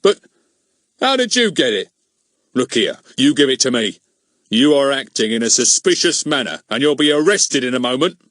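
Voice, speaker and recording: A man's voice: mid-range voice, lively intonation, normal speed, fairly bright tone, no echo, clean studio sound, pitched above the performer's own voice, close to an everyday voice. A man voicing a pompous, heavy middle-aged village policeman who barks bossy, threatening demands. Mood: bullying.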